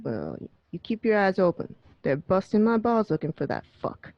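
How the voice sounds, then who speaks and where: A pushy, tough-talking mobster, played by a woman in a radio crime drama. The pitch is middling for a woman. The sound is dry and lo-fi. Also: wildly swooping pitch, moderate pace, gravelly, no room sound. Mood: insistent. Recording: dull lo-fi dry home recording.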